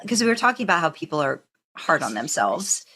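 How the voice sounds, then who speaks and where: A woman chats as a podcast host with a very animated, swooping delivery. She is informal and talkative, speaking in a loose conversational style. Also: deep voice, fairly quick. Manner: chatty, informal, backchanneling. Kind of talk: casual podcast chat.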